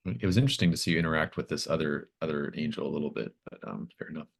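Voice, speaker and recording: Very deep-voiced man running a game. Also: very fast, vocal fry. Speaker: game master of a tabletop roleplaying session. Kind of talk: casual wrap-up talk with players.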